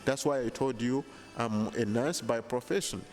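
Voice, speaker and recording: A man in his thirties with a Ugandan accent, answering interview questions in an earnest, measured way, held mic in a hotel lounge. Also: mid-range voice, darkish tone. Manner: earnest, measured, frequent restarts. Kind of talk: casual interview. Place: bright hotel lounge indoors. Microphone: handheld lapel mic.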